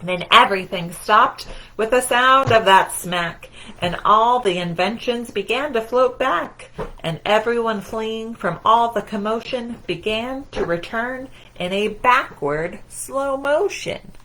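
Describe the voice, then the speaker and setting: A woman voicing a proud, excited schoolgirl inventor in an animated storybook reading. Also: fairly high pitch, slow pace, a little hiss or hum, rough recording.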